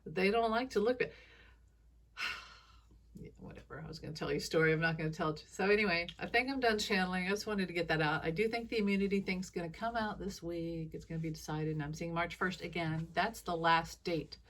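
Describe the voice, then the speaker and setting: A woman's voice: on the low side, fairly quick. A woman with a scratchy voice from allergies, rambling through a casual solo monologue as a psychic commentator, with chuckling asides. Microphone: phone.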